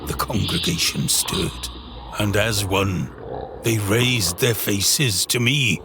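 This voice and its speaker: A man narrating a horror audio drama in a brooding, theatrical way, in short halting phrases. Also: fairly low pitch, background noise. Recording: music bed under the voice. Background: music.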